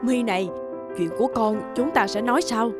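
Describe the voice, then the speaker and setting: A woman's voice: a little high, moderate pace, natural voice. A woman voicing a fretful middle-aged mother in a cartoon, exclamatory and probing, with a Northern Vietnamese accent. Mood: impressed, eager. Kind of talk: animated cartoon dialogue. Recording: music behind the dialogue.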